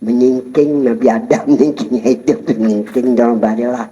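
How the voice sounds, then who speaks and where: A man's voice: medium pitch, steady tone, moderate pace, warm tone, faint music behind. Guy teaching sufi stuff, chuckles a lot, muffled lo-fi sound. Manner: explaining with chuckles, addressing listeners directly. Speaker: religious teacher. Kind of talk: Sufi study-circle lecture. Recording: dull lo-fi recording.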